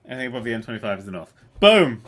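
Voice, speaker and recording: A man's voice: medium pitch, sing-song, rapid-fire, fairly bright tone. A young man from the south of England, chatting casually while streaming a game, teasing and swearing a lot. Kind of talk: casual livestream chat.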